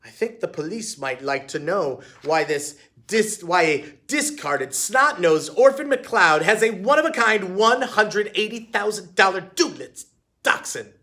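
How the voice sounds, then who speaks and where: A man voicing a fussy, wealthy older woman with a shrill, gushing, sneering manner, in a storybook reading. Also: animated, slow, pushed and bright, exaggerated voice.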